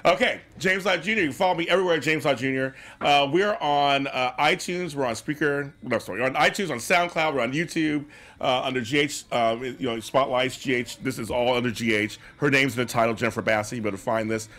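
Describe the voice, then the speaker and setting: A man's voice: mid-range voice, brisk, a bit bright. A middle-aged man hosting a studio interview, effusive and enthusiastic, speaking into a large mic. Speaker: host. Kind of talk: casual interview chat. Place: podcast studio. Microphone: large studio mic with headphones.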